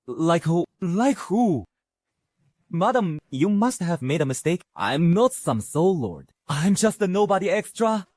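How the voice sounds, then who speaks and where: A man's voice: sits high, very animated, unhurried, darkish tone, no echo, heavily acted. A man playing a cocky, scheming young actor in an English anime drama dub, with a theatrical, exclamatory manner. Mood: flustered. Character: cocky young male actor posing as a lord, flustered and scheming.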